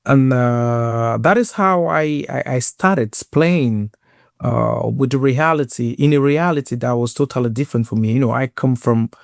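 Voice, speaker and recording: Man with a Cameroonian accent, halting delivery. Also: mid-range voice, gravelly, no room sound, clean studio sound. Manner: earnest, halting with repeated words. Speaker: guest, sports inclusion program lead. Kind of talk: personal storytelling in an interview.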